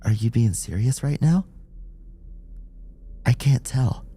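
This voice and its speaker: A man voicing a young female police officer in an audiobook story, with a brash, animated, slangy delivery. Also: medium pitch, slow, breathy edge, pitched above the performer's own voice, full character voice. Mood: amused.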